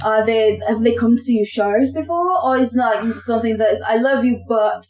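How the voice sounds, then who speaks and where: A woman's voice: fairly high pitch, normal speed. A woman in her thirties from Portugal hosting a casual podcast interview, chatty, with many fillers. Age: 30s. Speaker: podcast host. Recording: loud music behind.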